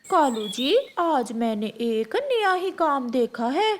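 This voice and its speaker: A cunning crow wife from a children's cartoon, acted by a woman, with a high voice. She coaxes politely and takes her time over each phrase. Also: sing-song, slow, a little hiss or hum, heavily acted. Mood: conspiring. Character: cunning female crow, sweet-talking wife.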